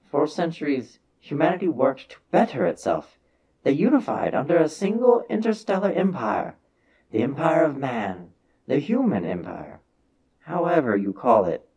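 A man with a husky voice giving a solemn, measured, theatrical reading of a science fiction story as its narrator. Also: a little high, big pitch swings, a little mellow.

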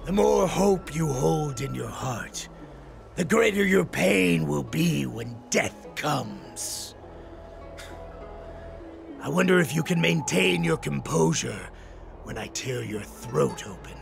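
Gravelly, sneering wolf-man henchman. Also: fairly high pitch, lively intonation, slow pace, faint music behind, full character voice. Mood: taunting menace. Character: vicious, sneering young male wolf-man henchman, threatening. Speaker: voice actor in a video game. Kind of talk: dramatic game character acting.

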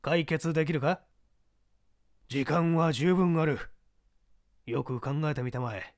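A man reading a mystery aloud, playing a seasoned older investigator who explains the case in a measured, testing way. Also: on the high side, dry recording, clean studio sound. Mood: explaining.

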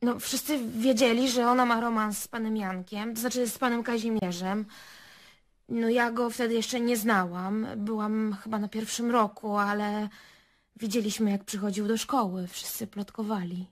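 A woman's voice: on the high side, steady tone, moderate pace, natural voice. An actress playing a nervous, gossipy young woman under questioning in a radio drama, hesitant, rambling and correcting herself. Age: young adult.

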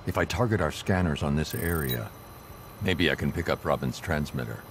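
An actor playing a grim, stoic vigilante detective in a video game, terse and analytical. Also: male voice, a bass voice, animated, normal speed, slight background noise. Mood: grim, resolute.

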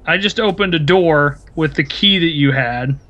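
A man's voice: a little high, normal speed, pushed and bright, lo-fi sound. A man bantering casually with friends while playing an online game, with faint noise behind him. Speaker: player in an online horror game.

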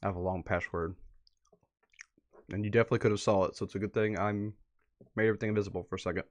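A man with a fairly low voice hosts a livestream in a casual, chatty way. He talks as if to viewers and to himself, dropping muttered asides as he works through what is on his computer. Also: steady tone, racing. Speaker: livestream host. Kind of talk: casual livestream commentary, reading posts aloud. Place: indoors at a computer.

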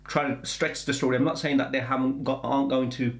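A middle-aged man giving a casual film trailer review in halting, rambling explanations. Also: mid-range voice, flat delivery, slight background noise. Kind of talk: casual reaction chat. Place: indoor room with bookshelves. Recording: echoey indoor room.

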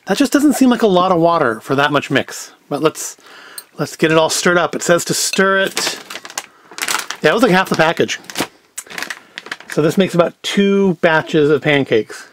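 Casual man with swooping, lively pitch. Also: moderate pace, pauses often, no echo. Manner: wry, self-questioning, thinking aloud. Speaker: host of a food review video. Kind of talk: casual rambling cooking commentary. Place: indoors at home, at a table and later in a kitchen.

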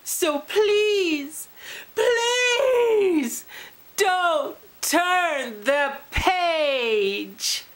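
A woman's voice: sits high, animated, drawn-out words, fairly bright tone, heavily acted. A woman reading a storybook aloud, voicing a desperate character who dramatically pleads with the reader. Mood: pleading.

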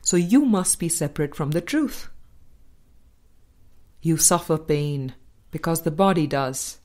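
A woman with a slightly low voice reads a spiritual book as scripted narration. Her pace is very slow and deliberate. She leaves measured pauses between phrases. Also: animated, a little mellow. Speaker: audiobook narrator.

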